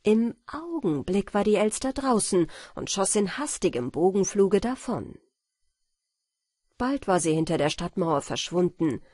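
Husky woman narrating a fairy tale. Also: mid-range voice, mellow, dry recording, clean studio sound. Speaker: audiobook narrator.

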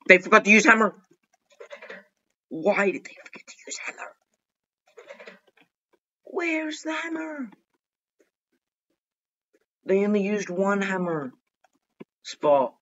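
A man giving excitable, chatty gameplay commentary as a gaming video host, talking to himself and often repeating phrases. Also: high voice, slow pace, long pauses. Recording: dull, lo-fi microphone.

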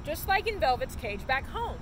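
A young woman voicing an excitable, exclaiming young boy explorer from a children's storybook. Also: sits high, normal speed. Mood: excited. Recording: outdoor noise of distant traffic.

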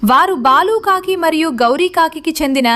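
A woman's voice: extremely high pitch, moderate pace, sharp tone. A woman reading scripted narration for a children's story as the narrator.

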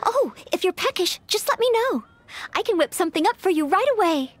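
Young maid knight, anime game: very high, lively intonation, polite, hesitant, eager to help. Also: female voice, fairly quick, dry recording. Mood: apologetic. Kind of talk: anime-style video game dialogue.

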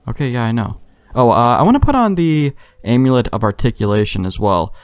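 A man's voice: mid-range voice, very animated, fairly quick, no echo. A man giving casual, wry let's play commentary, joking and swearing.

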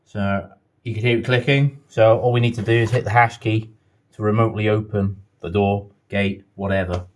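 A man's voice: on the low side, fairly even intonation, moderate pace. A man narrating a casual hands-on tutorial as an installer, thinking aloud in an informal way. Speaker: installer demonstrating intercom setup.

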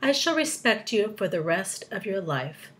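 A woman's voice: medium pitch, sing-song, normal speed, fairly bright tone. A woman voicing a brash, scornful male centipede in a storybook, blunt, mocking and bossy. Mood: grandiose.

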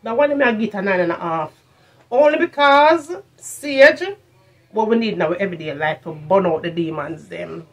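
A middle-aged woman with a Jamaican accent reviewing products in a casual vlog, slipping into patois and repeating phrases for emphasis. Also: medium pitch. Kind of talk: casual chat to camera, shopping haul review. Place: furnished room at home, indoors.

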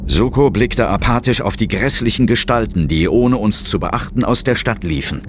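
A man playing a serious, brooding ghost hunter who narrates a horror audio drama gravely and dramatically. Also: lowish voice, moderate pace, slight background noise, natural voice. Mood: tense, grave. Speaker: first-person narrator and hero.

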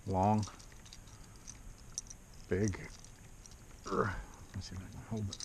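Excitable man fishing from a kayak. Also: fairly low pitch, slow pace. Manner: excited exclamations and muttered asides. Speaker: fishing vlogger. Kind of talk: casual fishing commentary. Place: kayak on a lake outdoors. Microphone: action camera mounted on the kayak. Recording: dull outdoor action-camera audio with wind and water noise.

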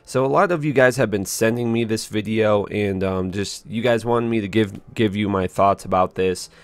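A man in his twenties with a hoarse voice, speaking casually and earnestly to viewers as a gaming channel host. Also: fairly low pitch, normal speed, no echo. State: hoarse, voice strained after a concert. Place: small indoor room at home.

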